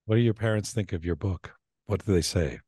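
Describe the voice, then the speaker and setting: Middle-aged host, halting, dry studio sound. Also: male voice, sits low, warm tone, husky, no echo. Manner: halting, searching for words. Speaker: podcast host. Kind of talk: interview questions. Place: radio studio. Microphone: studio microphone with headphones.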